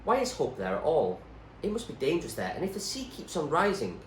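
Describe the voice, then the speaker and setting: A man plays an earnest young boy from a storybook, eager and a touch defensive. The voice is pitched up and swings about with emphasis, in a roomy, echoing space. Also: huge pitch swings, fairly quick. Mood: practical. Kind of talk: character dialogue in a storybook reading. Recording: roomy echo, faint background noise.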